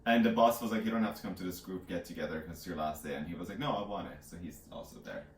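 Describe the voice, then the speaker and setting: A man's voice: deep voice, very fast, a little hiss or hum. A young man giving a casual, rambling recap as co-host, slangy and amused, peppering it with "like". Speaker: book podcast co-host. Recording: echoey room, distant from microphone.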